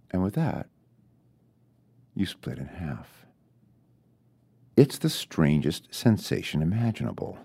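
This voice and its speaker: A man reading his own short story as literary narration, deliberate and with dramatic pauses. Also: on the low side, warm tone.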